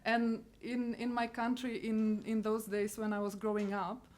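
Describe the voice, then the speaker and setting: Czech-accented woman giving a halting testimony. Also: on the high side, monotone, darkish tone. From Czech Republic. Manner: halting, searching for words. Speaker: missionary speaker. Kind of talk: personal testimony talk.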